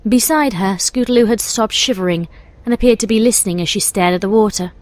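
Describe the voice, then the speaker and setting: A woman's voice: medium pitch, fast, no room sound. A woman reading scripted story narration as the narrator of a tale.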